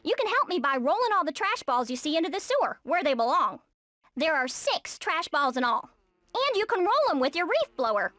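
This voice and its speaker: A woman voicing a plucky, feisty squirrel in a cartoon video game, forthright and energetic. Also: extremely high pitch, brisk, no echo, heavily acted. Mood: friendly, brisk.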